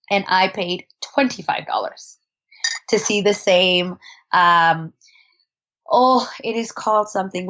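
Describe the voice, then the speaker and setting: A woman's voice: mid-range voice, a bit bright, muffled recording. A woman telling travel stories as a podcast guest in a chatty, casual way, laughing often and restarting her sentences. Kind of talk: casual conversational storytelling.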